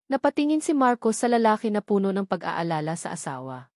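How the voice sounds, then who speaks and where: A woman's voice: mid-range voice, sing-song, fairly quick, darkish tone, no echo. Woman narrating dramatic fiction, dry sound. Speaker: story narrator.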